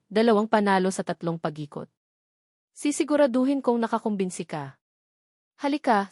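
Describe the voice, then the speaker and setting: A woman with a Filipino accent narrates a novel as an audiobook reader. Her voice sits at a middle pitch for a woman. The sound is dry, with very little room to it. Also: a little mellow, dry recording.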